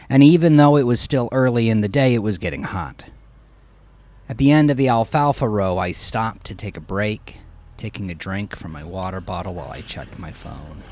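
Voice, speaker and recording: A man's voice: on the low side, darkish tone. A man narrating a first-person story expressively, acting out the storyteller's reactions as he goes. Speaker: author narrating own horror story.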